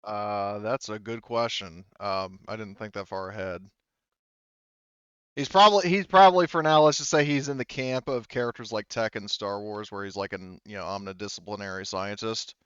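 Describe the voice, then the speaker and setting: A man improvising narration as game master of a tabletop game, speaking in a hesitant, halting way. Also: on the low side, steady tone, fairly bright tone, clean tone, no room sound, dull audio.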